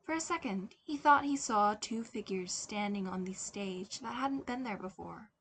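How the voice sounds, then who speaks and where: A woman reading scripted fiction as a narrator, expressively and dramatically, with pauses. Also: mid-range voice, animated, fairly bright tone. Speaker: audiobook narrator. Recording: clear background noise.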